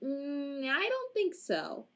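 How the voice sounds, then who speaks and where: A woman's voice: high-pitched, huge pitch swings, slow, heavily acted. A woman playing a casual, teasing female crew member in an amateur audio drama. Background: music.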